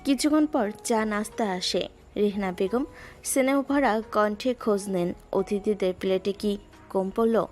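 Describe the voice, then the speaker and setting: Female narrator, lyrical scripted storytelling, mid pitch, light music bed. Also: a little mellow. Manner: measured, lyrical.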